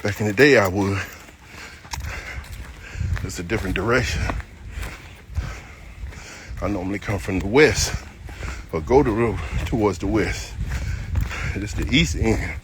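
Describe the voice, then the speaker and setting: A man's voice: lowish voice, a little slow, pauses often. Fifty-something dog trainer guy rambling on a trail walk, blunt and folksy, faint outdoor noise. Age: 50s. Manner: talkative, blunt, folksy storytelling. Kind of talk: casual vlog while walking a dog.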